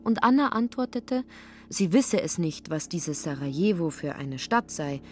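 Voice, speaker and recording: A woman's voice: fairly low pitch, expressive, a little slow, darkish tone, soft background music. A woman reading literary narration expressively, slipping into characters' lines. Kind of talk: scripted literary narration for radio.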